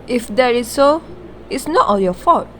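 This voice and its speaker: A woman acts a sympathetic best friend in an amateur radio drama. She has a fairly high, gentle, consoling voice, with a little noise behind it. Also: expressive, moderate pace.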